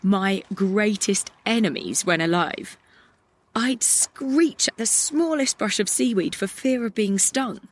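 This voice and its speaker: A woman's voice: mid-range voice, animated. A woman playing a melancholic, angry young idealist in a poetic audio drama monologue. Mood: wistful. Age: young adult. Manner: lyrical, reflective, deliberate. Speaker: actor in an audio play.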